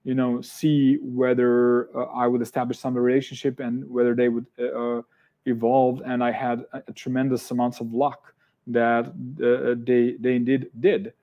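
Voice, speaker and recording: A man's voice: mid-range voice, flat delivery, moderate pace, warm tone, dull audio. A man with a Serbian accent, speaking English as his second language, hosts an online interview, hesitant with many fillers. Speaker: host.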